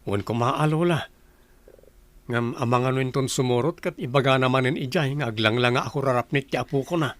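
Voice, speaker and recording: A man's voice: medium pitch, a little fast, a bit bright, no echo. A man acting a respectful, eager young grandson about to marry in a radio comedy drama.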